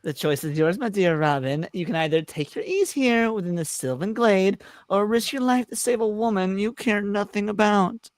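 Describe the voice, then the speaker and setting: A woman's voice: mid-range voice, normal speed, few pauses, no echo, natural voice. A woman voicing a smug, taunting male trickster who poses as a sheriff, with theatrical mock courtesy, in a live script read. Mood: goading. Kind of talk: live amateur script reading.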